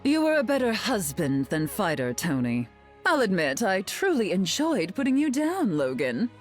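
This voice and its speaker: A woman's voice: medium pitch, huge pitch swings, moderate pace, soft background music. An actress playing a haughty, sardonic, glamorous telepathic queen in a video game, drawling with dry mockery. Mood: imperious, mocking. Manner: haughty, drawling, dryly mocking. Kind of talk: video game character voice lines.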